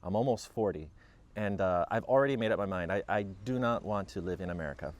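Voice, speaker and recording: A man's voice: deep voice, fast. A man in his late thirties who grew up in Japan, chatting casually outdoors as he answers viewer questions, with many ums and restarts. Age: 30s. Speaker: vlogger. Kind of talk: casual Q&A chat.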